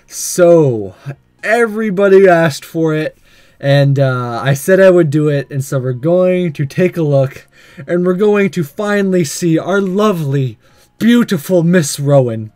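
A man hosting a casual let's-play, chatting to viewers in a halting, conversational way. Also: a little high, animated, a little mellow, no room sound. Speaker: gaming channel host.

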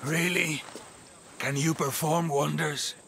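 An actor playing an anxious, superstitious young male athlete in a video game, pleading and excitable. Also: on the high side, slow, gravelly, a little hiss or hum, full character voice. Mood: desperate. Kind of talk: video game character acting.

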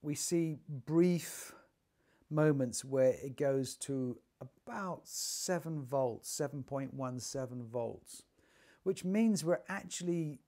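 A man's voice: fairly high pitch, warm tone. A man in his sixties presenting an informal demonstration, speaking directly to the viewer like a showman into a lapel mic. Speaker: presenter, technical director of a loudspeaker company. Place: large attic listening room indoors. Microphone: lavalier.

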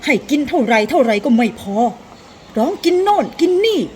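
Woman putting on a blustering, high-pitched goat father playing monster. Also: lively intonation, normal speed, slight background noise. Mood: exasperated bluster. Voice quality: gruff put-on voice. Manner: shouting, scolding, blustering. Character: wily father goat posing as a gruff, scary monster.

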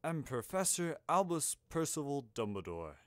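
A man's voice: a little high, very animated, dry recording, studio quality, pitched above the performer's own voice. A man voicing a grandfatherly old wizard headmaster in an audiobook story, courteous, wordy and a little puzzled. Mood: courteous, stately. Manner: genteel, wordy, halting over asides.

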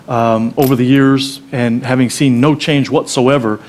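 Guy testifying, slow and sarcastic, mid voice. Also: medium pitch, fairly even intonation, a little mellow.

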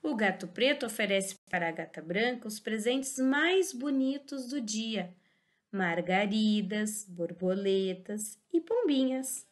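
A woman teacher giving a slow, animated storybook reading for small children, in a Brazilian Portuguese accent. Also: medium pitch. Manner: sing-song, exaggerated expressive reading. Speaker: teacher reading a picture book.

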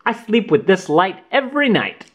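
A man's voice: high-pitched, very animated, normal speed, highs cut off, a little hiss or hum, voice pushed up. A man voicing a curious, cheerful, literal-minded young girl in a children's storybook, eager and childlike.

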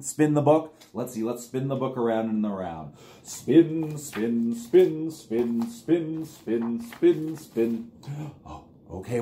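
A man in his forties reading aloud to young children as a storytime host, with an exaggerated, playful, sing-song delivery. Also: medium pitch. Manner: animated, exaggerated, sing-song repetition with gasps. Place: small plain room indoors.

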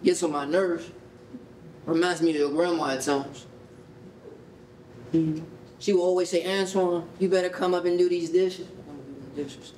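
Man playing a highish-voiced, joking American uncle. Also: steady tone, normal speed, long pauses, darkish tone, lightly acted. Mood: joking, grumbling. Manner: casual, slangy, teasing. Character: joking, easygoing young uncle with a soft heart. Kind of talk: staged reading acting. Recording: roomy hall, distant mic, faint noise.

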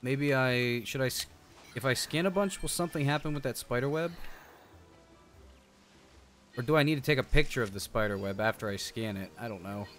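A man's voice: mid-range voice, fairly quick, pauses often, a bit bright. A man doing casual game stream commentary, chatty and slangy, thinking aloud as he plays. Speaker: streamer, show host. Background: music.

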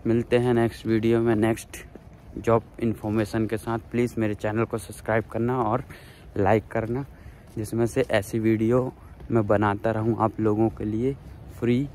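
A man's voice: fairly low pitch, little pitch movement, normal speed, darkish tone, a little hiss or hum. A man in his thirties filming a casual selfie vlog outdoors on his phone, earnestly encouraging viewers he calls friends. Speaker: vlogger giving job advice. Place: outdoors by a waterfront town, overcast. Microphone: phone at arm's length.

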